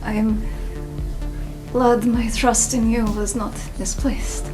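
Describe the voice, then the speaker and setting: A woman's voice: fairly high pitch, flat delivery, takes it slowly, over music. A woman game master voicing a weary, earnest resistance team leader in a tabletop game, speaking solemnly and formally. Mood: weak, grateful.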